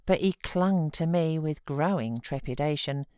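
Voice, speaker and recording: Low, unhurried British woman reading aloud. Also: smooth, no echo, clean studio sound. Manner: measured, expressive storytelling. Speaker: voice artist, audiobook reader. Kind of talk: scripted literary narration.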